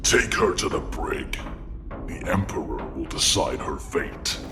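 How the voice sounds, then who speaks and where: A man playing a brutish monster general in an audio drama, a cold, menacing traitor with a gravelly voice. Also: rumbling low, big pitch swings, unhurried, in a large hall, music in the background, exaggerated voice. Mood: commanding. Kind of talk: villain acting in audio drama.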